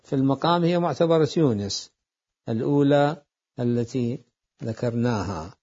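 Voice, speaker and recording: A man giving a deliberate jurisprudence lecture, repeating phrases as he explains. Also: mid-range voice, expressive, mellow. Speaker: seminary jurisprudence teacher. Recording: dull lo-fi lecture recording.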